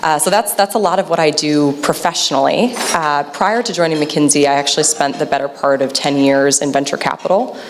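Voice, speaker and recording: A woman in her thirties introducing herself on a conference panel in a measured way with frequent uhs, speaking into a handheld mic in a large room. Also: sits low. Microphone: handheld microphone. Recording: long hall reverb, faint hiss.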